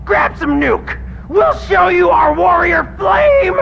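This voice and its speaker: An actor voicing a frantic, hot-headed drug-dealing punk in a video game, agitated and belligerent with a strained, creaky voice. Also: male voice, very high-pitched, sing-song, takes it slowly, raised voice, muffled recording, over a noisy crowd, heavily acted. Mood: belligerent threats. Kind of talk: video game cutscene acting.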